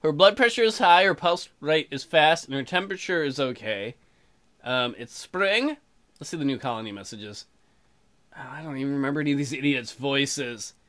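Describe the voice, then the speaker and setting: A man's voice: mid-range voice, animated, moderate pace, fairly bright tone. A man hosting a comedic let's play, reading game text aloud with crude, irreverent side remarks.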